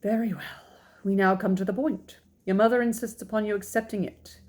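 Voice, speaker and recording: Woman doing a older gentleman dad from an old novel, calm, deadpan, measured and proper. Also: mid-range voice, natural voice. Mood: mock stern. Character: dry, calm, unconcerned older gentleman father.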